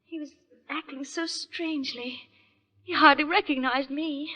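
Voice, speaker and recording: A woman's voice: sits high, steady tone, unhurried, sharp tone. A woman playing an anxious, fragile young woman in an old-time radio melodrama, faltering and pleading, on a muffled recording. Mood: nervous recounting.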